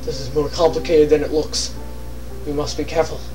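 Male co-commentator, high-pitched, sarcastic joker, casual gaming banter, off-mic with soft music underneath. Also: little pitch movement, brisk, distant mic. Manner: sarcastic, joking. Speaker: let's play co-commentator. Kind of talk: casual banter over gameplay. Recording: off-mic, game music behind. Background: video game music.

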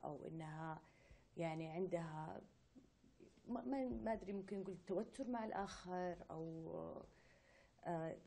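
A woman interviewer asking quick questions that trail off and get rephrased. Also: fairly low pitch, vocal fry, dark tone, a little hiss or hum.

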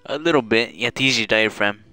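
A woman's voice: a bass voice, fast talker, pushed and bright, dry recording, soft background music. A woman streamer chatting casually and playfully bossing her friend around during a game stream. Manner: playful, bossy, coaching. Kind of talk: casual chat while gaming.

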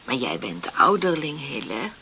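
A woman acting a gentle, patient mother and pleading wife in a radio drama, speaking softly and soothingly. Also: a bass voice, sing-song, moderate pace, a little hiss or hum, heavily acted. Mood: mild pleading.

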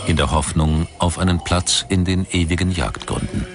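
A man reading scripted documentary narration in a measured, articulate manner. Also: low voice, fairly even intonation, noisy background.

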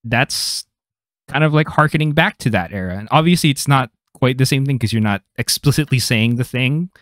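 Young man, slight Filipino accent, mid-pitched voice, dry close mic, reflective chatty podcast host. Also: normal speed, fairly bright tone, no echo. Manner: reflective, loose, lots of "like" fillers. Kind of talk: casual conversation. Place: small home room. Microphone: studio mic on stand.

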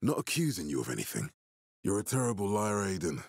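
An actor playing a menacing middle-aged military leader in a video game, authoritative, curt and measured. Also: male voice, low voice, sing-song, no room sound, studio quality, full character voice. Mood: stern, controlled. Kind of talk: dramatic video game voice acting.

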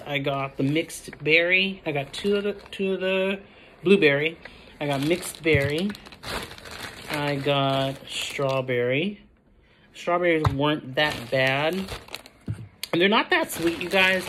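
Chatty low-pitched American woman. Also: background noise. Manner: rambling, chatty, self-correcting. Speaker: vlogger showing a grocery haul. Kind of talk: casual chat to camera. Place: indoor room at home.

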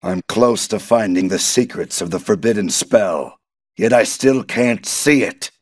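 A man's voice: fairly low pitch, measured pace, no room sound, clean studio sound. A man playing a bitter, obsessed wizard villain in a video game, theatrical and menacing. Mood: bitter, ruthless.

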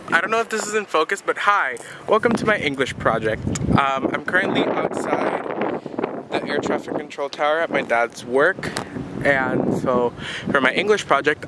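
A teenage young man casually chatting as a student vlogger and interviewer. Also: fairly high pitch. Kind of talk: casual vlog chat and interview. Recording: roomy, noisy, distant camera mic.

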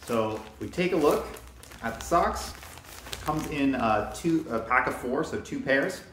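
Young male reviewer, casual and unhurried with ums, bare echoey room, faint hiss. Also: mid-range voice. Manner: relaxed, with frequent ums and restarts. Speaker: product reviewer. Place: bare indoor room with wooden floor. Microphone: camera mic, near then from a distance.

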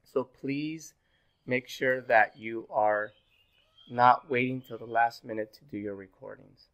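A man in his thirties, a little sick and hoarse, giving a pre-recorded online class as instructor, relaxed and full of fillers. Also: medium pitch, unhurried. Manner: relaxed, filler-heavy, repeats "go ahead". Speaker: university instructor. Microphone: webcam in corner of screen recording.

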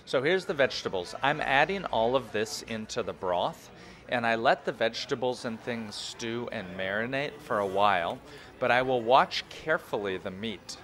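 A man's voice: lowish voice, fairly bright tone. An enthusiastic, chatty man in his thirties or forties, giving a casual guided food review as a vlogger.

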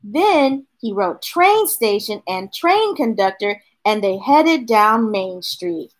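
A middle-aged woman reading a picture book aloud to children, expressive, with chatty asides to her young listeners. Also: mid-range voice. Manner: expressive, drawn-out delivery addressing young listeners. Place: small indoor room with bookshelves.

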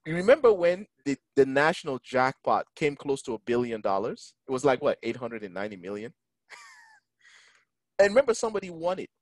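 A man teaching an online Quran lesson, engaging his listeners and reciting Arabic verses quickly. English is not his first language. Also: mid-range voice, big pitch swings, no room sound. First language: neither Arabic, English nor French. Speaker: imam teaching a Quran class. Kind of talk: online Quran lesson over a video call. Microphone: video call.

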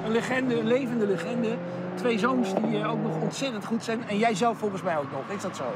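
A middle-aged male presenter interviewing a guest on location, excitable and exclaiming, laughing often. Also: high-pitched, fairly quick, very noisy. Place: outdoors at a racetrack, then inside a sports car.

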